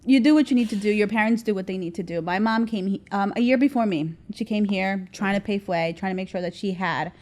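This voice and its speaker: A woman's voice: medium pitch, quick pace, hardly any pauses, darkish tone. A woman in her thirties from Mexico, a podcast guest talking in a reflective, casual way with frequent ums and restarts. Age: 30s. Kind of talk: casual interview.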